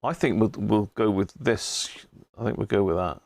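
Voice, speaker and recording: A man's voice: fairly low pitch, normal speed, darkish tone, no echo. British man, dry close sound, casual livestream. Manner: rambling, thinking aloud, chummy asides. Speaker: livestream host, CB radio hobbyist. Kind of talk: casual live chat to viewers. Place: indoor radio room.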